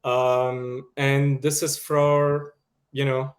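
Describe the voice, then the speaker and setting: Slow-talking Lebanese-accented man. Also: mid-range voice, little pitch movement. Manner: slow and measured, with soft laughs. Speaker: guest, media producer. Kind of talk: casual interview talk. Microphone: video call.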